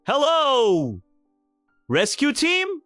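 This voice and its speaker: A man's voice: extremely high pitch, huge pitch swings, extremely slow, a bit bright, dry recording, clean studio sound, faint music behind, pitched above the performer's own voice, heavily acted. A man voicing a haughty, frightened young billionaire heiress, indignant and demanding, in an audio drama story. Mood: urgent, shouting.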